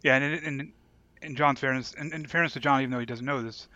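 A man co-hosting a podcast, explaining his thoughts in a halting, reflective, conversational way. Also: medium pitch, fairly even intonation, bright, forceful tone, no echo, dull audio. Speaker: podcast co-host. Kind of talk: casual discussion.

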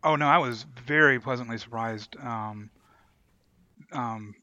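A man co-hosting a podcast, chatting casually and haltingly as he searches for words. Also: medium pitch, sharp tone, dry recording, dull audio. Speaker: podcast co-host. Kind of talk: casual chat reviewing an audio drama.

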